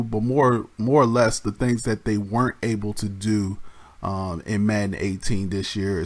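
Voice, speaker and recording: A man with a slightly raspy voice, casually explaining a game mode in a rambling way, with restarts and many ums. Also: on the low side. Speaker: gaming YouTuber.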